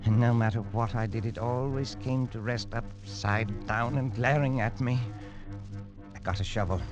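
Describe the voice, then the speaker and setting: An actor playing a high-strung, haunted English adventurer, narrating and acting dramatically with brooding pauses in old-time radio style. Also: male voice, muffled recording, music in the background, natural voice. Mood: haunted, uneasy.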